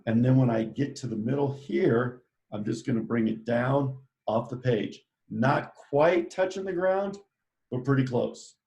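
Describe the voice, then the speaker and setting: A man's voice: mid-range voice, unhurried, vocal fry, a little mellow. A man teaching a live online drawing lesson, giving playful step-by-step instructions in an upbeat way. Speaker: art teacher.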